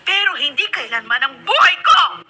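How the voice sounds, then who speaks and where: A Filipino actress playing a defiant, wounded young pregnant wife in an emotional, confrontational radio drama style. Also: female voice, high-pitched, very animated, moderate pace, slight background noise, exaggerated voice. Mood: defiant, anguished. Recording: radio drama under loud music.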